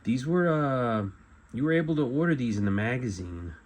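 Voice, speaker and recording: A middle-aged man giving a casual show-and-tell as a collector, talking in a halting, rambling way and often correcting himself. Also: mid-range voice, sing-song, a little mellow. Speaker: collector presenting memorabilia. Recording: clear background noise.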